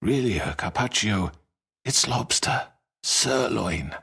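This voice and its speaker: A menacing video game crime lord. His voice is very deep and husky, and it glides up and down expressively. He speaks with formal, unctuous courtesy, suave but threatening. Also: expressive, sharp tone, exaggerated voice. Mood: falsely hospitable. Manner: unctuous, formal, measured politeness. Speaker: crime boss. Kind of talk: dramatic video game acting.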